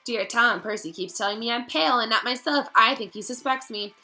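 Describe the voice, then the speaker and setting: A woman voicing a frightened young girl confessing to her diary, rushed and pleading. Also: on the high side, sharp tone, pitched above the performer's own voice. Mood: frantic.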